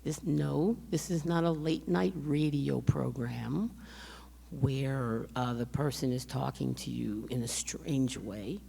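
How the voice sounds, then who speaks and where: An older woman lectures in a low, gravelly voice that is straining to hold up. She speaks slowly and directly to the audience, as if chatting one to one, and a long hall reverb trails her words. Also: steady tone, mellow. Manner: measured, conversational, addressing listeners directly. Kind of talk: public lecture.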